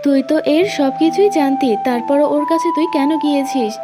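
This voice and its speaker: A woman's voice: sits high, fairly quick, loud music behind the voice, natural voice. A woman voicing a bossy, exasperated mother fox in a children's cartoon. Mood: scolding.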